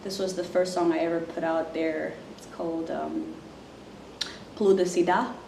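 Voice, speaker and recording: A woman speaks softly and haltingly, filling her pauses with ums. Her voice sits low and mellow with little rise and fall. She sounds set back from the mic in an echoey room with a faint hiss. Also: little pitch movement, gravelly. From Zimbabwe. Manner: soft-spoken, halting, many fillers. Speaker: musician. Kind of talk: casual self-introduction to camera. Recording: roomy, distant mic, faint noise.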